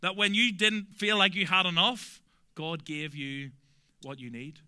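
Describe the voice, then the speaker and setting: A young adult man from Belfast with a Belfast accent, preaching a sermon earnestly and emphatically, often dropping to soft, quiet endings. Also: high-pitched, measured pace, smooth. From Northern Ireland. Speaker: pastor.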